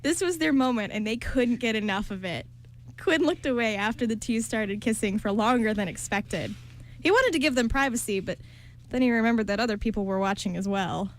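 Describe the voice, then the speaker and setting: A woman's voice: on the high side, a little fast. Young woman reading a story script. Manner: steady storybook narration. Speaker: narrator and radio show member.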